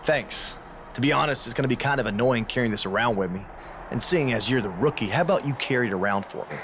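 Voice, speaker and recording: Cocky male wrestler, bullying, brisk delivery, mid pitch, game cutscene. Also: lively intonation, a bit bright, slight background noise. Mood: smug.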